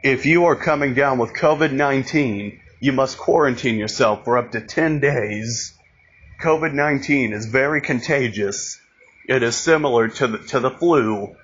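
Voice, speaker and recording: A man reading a scripted emergency broadcast announcement, haltingly, repeating and restarting phrases, on a lo-fi recording. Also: medium pitch, fairly bright tone. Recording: dull lo-fi audio, noticeable background noise.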